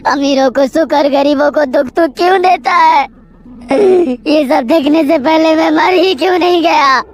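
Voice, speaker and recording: A woman's voice: high voice, measured pace, faint music behind. A woman playing a troubled poor farmer, husband and father in a moral story, earnest and plaintive. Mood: bitter despair.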